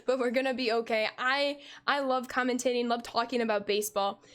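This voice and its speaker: Slightly hoarse young woman calling plays. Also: on the high side, steady tone, fairly bright tone. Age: 20s. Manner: upbeat, quick play-by-play, thanks viewers by name. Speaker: streamer and game commentator. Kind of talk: live play-by-play commentary and chat reading.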